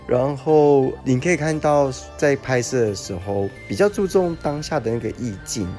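A man's voice: mid-range voice, a little mellow. A man giving a casual spoken testimonial in a pre-recorded message. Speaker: photo model leaving a recorded message. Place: pre-recorded voice message played over music.

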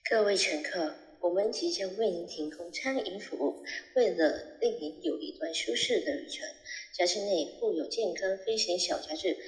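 A woman playing a flight attendant making polite, slightly halting cabin service announcements in an audio drama. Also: medium pitch, expressive, natural voice. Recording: roomy, echoey hall sound.

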